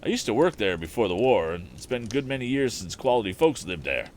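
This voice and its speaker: Storybook part: country sawmill hand, done by a man, emphatic and folksy, pitched well up from low. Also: very animated, quick pace, a little hiss or hum. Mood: animated. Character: obliging rustic older handyman with a sawmill.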